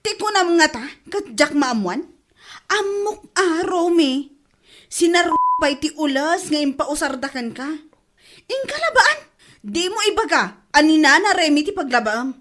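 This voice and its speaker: Female actor as scolding village wife, fed up, Ilocano accent, high voice with animated swings, comedy radio drama. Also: measured pace, exaggerated voice. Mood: scolding, exasperated. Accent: Filipino, Ilocano. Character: exasperated, nagging wife scolding her drinking husband.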